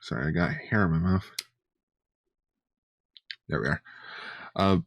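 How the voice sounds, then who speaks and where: Low-voiced man chatting casually. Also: unhurried, gravelly. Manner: informal, sweary. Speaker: podcast co-host. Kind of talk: casual fan chat.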